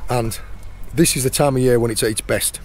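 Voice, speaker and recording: Croaky middle-aged man outdoors. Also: mid-range voice, fairly even intonation, brisk, a little hiss or hum. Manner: chatty, points things out as he goes. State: hoarse, croaky sore throat. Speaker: channel host and foraging guide. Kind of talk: casual outdoor explaining while foraging. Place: outdoors on a rocky shore in the rain.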